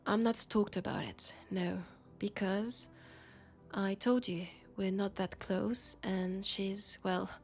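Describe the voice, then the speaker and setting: Woman acting as tired guarded cop lady w french accent, hushed n slow, sci-fi roleplay, bit of music behind. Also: medium pitch, steady tone, vocal fry. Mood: weary, hesitant. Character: weary, guarded young policewoman confiding in her housemate. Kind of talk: intimate sci-fi audio roleplay.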